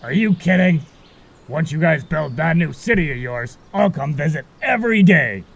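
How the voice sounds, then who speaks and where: A man playing a friendly, laid-back guide in an amateur audio drama, gravelly and casual. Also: fairly high pitch, lively intonation, normal speed. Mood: resolved.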